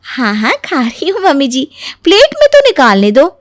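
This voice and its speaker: A sly, sugary young daughter-in-law from a family cartoon, acted by a woman. Her voice is very high and swoops widely, with a dry studio sound. She pesters eagerly with sing-song mock innocence. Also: huge pitch swings, moderate pace, no room sound. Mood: feigned surprise. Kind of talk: animated moral story voice acting.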